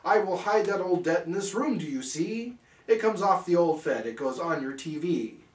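A man's voice: high voice, animated, moderate pace, echoey room, distant mic, voice pushed up. A man voicing eager, scheming little senators from a rhyming storybook, exclaiming in singsong. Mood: urgent.

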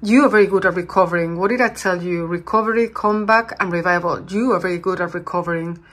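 A woman tarot reader, talking warmly and reassuringly to her viewers and sometimes searching for English words. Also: lowish voice, fast. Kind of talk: channeled tarot reading.

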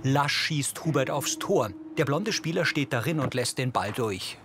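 A man reads scripted narration for a TV crime series as an audio describer, measured and matter-of-fact. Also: mid-range voice, animated, background noise.

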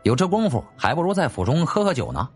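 A man's voice: a little high, huge pitch swings, quick pace, faint music behind. A man narrating a scripted story as a dramatic audiobook storyteller.

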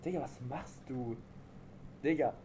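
A man's voice: mid-range voice, unhurried, background noise. A man around twenty-one chatting with strangers on a video call, slangy, teasing and playful. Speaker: video chat vlogger. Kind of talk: casual joking chat with strangers. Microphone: webcam or computer mic on a video call.

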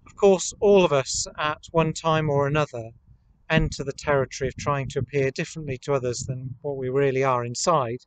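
A man, preaching a measured, earnest, reflective sermon to camera as a priest. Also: medium pitch, smooth, poor audio. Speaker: priest leading online worship. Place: outdoors in a rural churchyard. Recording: outdoor camera recording with ambient noise.

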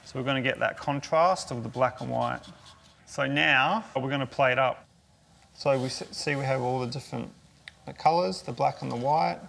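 A man's voice: medium pitch, moderate pace, gravelly, fairly bright tone, a little hiss or hum. A young man working as a chef, explaining a dish step by step in a cooking demonstration. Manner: explanatory, walks through each step. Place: indoor kitchen.

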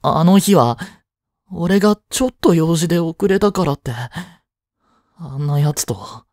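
Man acting a blunt, jealous high school boy, animated. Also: unhurried, dry recording, clean studio sound. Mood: jealous, irritated. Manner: blunt, casual, hesitant when flustered. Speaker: voice drama actor. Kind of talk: Japanese audio drama acting for women listeners.

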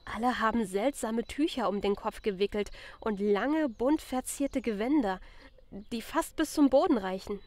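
A woman's voice: fairly high pitch, normal speed, a little mellow, natural voice. A woman playing a flustered, deferential young lady's maid in an audio play, anxious and earnest. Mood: earnest, hesitant. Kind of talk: audio drama character reading.

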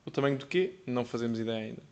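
Laid-back young Portuguese streamer. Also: male voice, medium pitch, rapid-fire, darkish tone. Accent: European Portuguese. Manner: laid-back, laughing, joking with chat. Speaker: streamer reacting to a video. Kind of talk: casual livestream reaction chat. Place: streaming room indoors.

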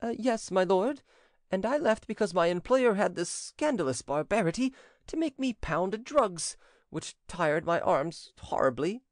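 A man voicing a cowardly, lazy servant in a storybook reading, whining and fawning with drawn-out words. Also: high-pitched, animated, no room sound, clean studio sound, voice pushed up. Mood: defensive, whining. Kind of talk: audiobook character acting.

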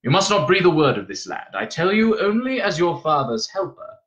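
A man voicing a shrewd, gruff old adviser with a probing, wry and confiding manner. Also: a little high, very animated, moderate pace, fairly bright tone, highs cut off, pitched above the performer's own voice.

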